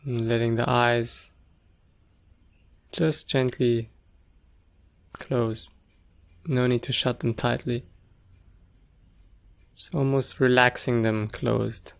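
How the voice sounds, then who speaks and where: A man leading a guided meditation, speaking gently and leaving long pauses between short phrases. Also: medium pitch, fairly even intonation, creaky. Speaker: meditation teacher.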